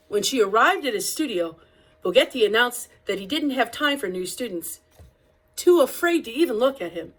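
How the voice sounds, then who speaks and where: Female storybook narrator, slightly high voice, scripted read-aloud delivery for children. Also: low-quality recording. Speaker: reader of a children's picture-book biography.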